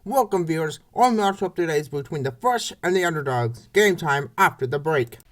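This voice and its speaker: Baseball announcer, scripted play-by-play, clipped phrases, very animated pitch, mid-range voice. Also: normal speed. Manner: short clipped announcer phrases, repeated score calls. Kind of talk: scripted sports commentary for a comic dub.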